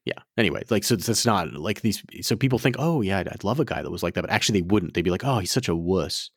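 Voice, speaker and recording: Very low-pitched man, frank rambling podcast chat, dry close sound. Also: animated, quick pace, dry recording. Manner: rambling, frank, many restarts. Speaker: podcast co-host.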